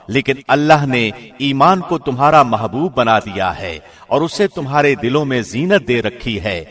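A man's voice: mid-range voice, a bit bright. A man reading a scripture translation from a script, in the solemn style of a radio presenter.

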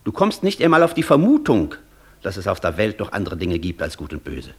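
A proud scholar in a radio drama, lowish husky voice, declaiming n arguing, kinda superior. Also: male voice, huge pitch swings, brisk, a little mellow, heavily acted. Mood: challenging, superior. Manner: declamatory, superior, precise, argumentative. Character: proud intellectual scholar, cool, challenging the Devil. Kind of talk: German radio play acting, theatrical.

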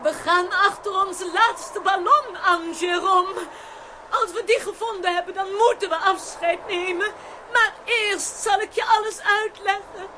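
Very high girlish fairy sister. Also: fairly even intonation, measured pace. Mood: anxious. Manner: girlish, plaintive, theatrical. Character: ancient magical sister, girlish, sweet, tearful and remorseful. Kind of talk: children's radio play acting. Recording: noisy old radio play audio.

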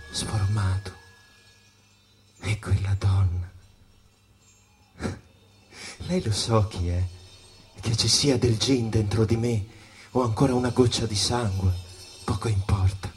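A smitten, gin-soaked saxophonist played by a male actor reminisces wistfully in a stage monologue. He talks very slowly, lingering over phrases, with jazz clearly playing behind him. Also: lowish voice, a little mellow, lightly acted. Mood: wistful wonder. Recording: music bed under the voice. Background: jazz music.

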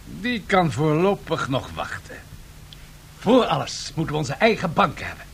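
An actor playing a bold, impatient, ambitious tycoon in a radio drama, with a brisk, commanding manner. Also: male voice, on the high side, sing-song, vocal fry, fairly bright tone, a little hiss or hum, heavily acted. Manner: brisk, commanding, self-assured.

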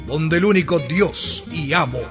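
Slow, high-voiced male radio narrator. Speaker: radio drama announcer and narrator. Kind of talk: dramatic scripted narration. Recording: studio radio drama with music underneath.

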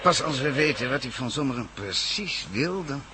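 Police commissioner male actor, radio drama. Insistent, worn, mid-range voice, very varied melody, clear background noise. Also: medium pitch, expressive, normal speed, close to an everyday voice. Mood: resigned, weary. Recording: clearly noisy radio play sound.

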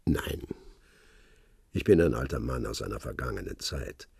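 An actor playing a cryptic old retired secret-service man who talks in measured hints. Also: a bass voice, animated, creaky, darkish tone, breathy edge, dry recording, clean studio sound, full character voice. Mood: calm. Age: older. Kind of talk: radio play acting.

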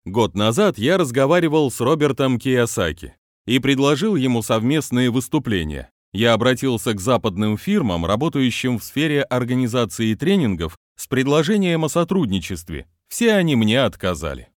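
A man's voice: medium pitch, very animated, moderate pace, dry recording, clean studio sound. A man, a business trainer, reading his own motivational book aloud with non-native pronunciation and an earnest manner.

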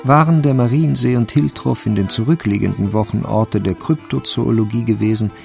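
A man narrating a radio drama from a literary script in a measured, wry manner. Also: mid-range voice, vocal fry, a little mellow, soft background music.

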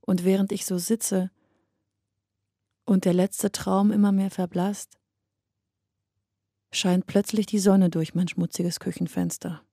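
Nachdenkliche Erzählerin, gedämpft und gleichförmig. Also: female voice, medium pitch, flat delivery, vocal fry, dark tone, no room sound, clean studio sound. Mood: wistful, reflective. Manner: measured, literary delivery. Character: reflective woman narrator closing her dream diary. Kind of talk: scripted radio drama monologue.

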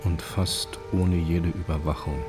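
A man with a deep voice narrates in a flat, sober tone. His delivery is scripted and factual, like documentary passages in a radio drama, with music clearly behind him. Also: a little slow.

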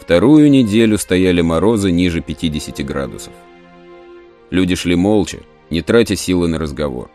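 A man narrating a literary audio play in a measured, solemn storytelling manner. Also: sits low. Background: soft music.

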